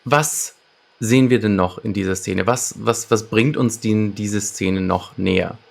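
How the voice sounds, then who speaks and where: A man's voice: lowish voice, steady tone, measured pace, gravelly. A man co-hosting a podcast, presenting and discussing an audio drama episode. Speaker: podcast co-host. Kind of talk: scripted episode summary and discussion.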